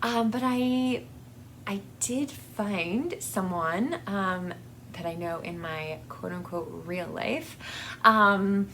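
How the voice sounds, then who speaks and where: A woman vlogger chats casually to her viewers at a slow, halting pace, rambling and openly grateful. Her voice sits at an average pitch. She is recorded in a basement, with noticeable noise behind her. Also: pushed and bright. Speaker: book channel vlogger. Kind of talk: casual personal update to viewers. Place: basement with air conditioning running.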